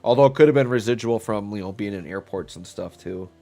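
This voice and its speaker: A man's voice: lowish voice, fast, gravelly. A man with a stuffy, congested voice, chatting casually and rambling as he streams a game live. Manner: relaxed, rambling, thinks aloud with fillers. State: stuffy, getting over a cold. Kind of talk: casual live-stream commentary while playing. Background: faint background music.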